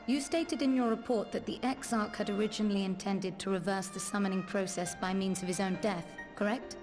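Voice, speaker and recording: An actress playing a composed, resolute scholarly woman in a fantasy game, speaking in a formal, measured manner. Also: mid-range voice, fairly even intonation, natural voice. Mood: earnest, determined. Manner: formal, measured, archaic diction. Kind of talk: video game cutscene acting. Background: music.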